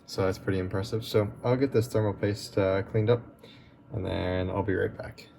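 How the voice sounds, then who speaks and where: A man hosting a relaxed, chatty repair walkthrough, his voice going a bit hoarse as he works. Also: low-pitched, normal speed, a little hiss or hum. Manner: relaxed, chatty, with many fillers. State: losing his voice. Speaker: tech repair video host.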